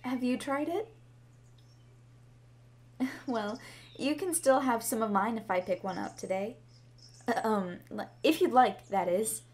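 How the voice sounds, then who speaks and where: A woman's voice: a little high, measured pace, long pauses, darkish tone, close to an everyday voice. Woman playing a shy, chatty girl with a crush. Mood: friendly, eager. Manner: bashful, hesitant, chatty, giggly. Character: shy, sweet, rambling young schoolgirl with a crush. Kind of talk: ASMR roleplay, scripted to the listener. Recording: clearly audible background ambience noise.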